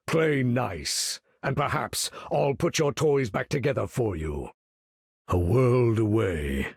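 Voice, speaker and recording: An actor playing a proud, menacing mutant leader in a video game, speaking in a measured, theatrical, grandiose way with a husky voice. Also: male voice, medium pitch, no room sound, clean studio sound, heavily acted. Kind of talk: video game character voice lines.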